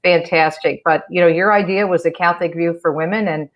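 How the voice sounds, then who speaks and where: A woman who grew up in Michigan speaks as a guest, telling long stories in a talkative, easy flow. Her voice sits very low for a woman. She has the practiced ease of someone who works on radio. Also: fairly even intonation, a little fast, lo-fi sound. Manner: talkative, storytelling, warm restarts. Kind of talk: casual interview chat.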